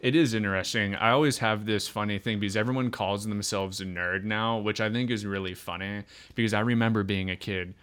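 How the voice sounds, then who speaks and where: A man in his thirties hosting a podcast interview, with a low, deep voice and a smooth, resonant tone. He talks in an easygoing, chatty and enthusiastic way. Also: steady tone, brisk, hardly any pauses, fairly bright tone. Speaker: podcast host. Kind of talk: casual interview chat.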